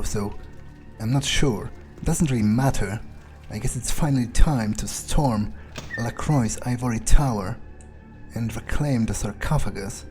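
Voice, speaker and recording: A man's voice: medium pitch, a little mellow. A man doing an in-character video game playthrough, thinking aloud in a blunt, sweary, informal way. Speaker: gamer playing in character. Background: faint game music.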